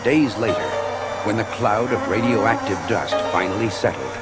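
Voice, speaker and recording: A man's voice: fairly low pitch, animated, moderate pace, close to an everyday voice. A man playing a solemn elder insect warrior, telling sacred history in a grave, theatrical storybook-record style. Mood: solemn, grand. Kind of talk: dramatic scripted storytelling on a children's story record. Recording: studio story record with music underneath.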